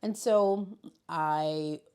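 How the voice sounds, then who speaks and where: A woman from New York City speaks in a low voice with a gravelly edge. She talks reflectively in an interview about how she came to teaching, circling back and restarting as she thinks. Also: drawn-out words, darkish tone. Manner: reflective, storytelling, with restarts and fillers. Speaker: high school learning specialist. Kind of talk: interview conversation.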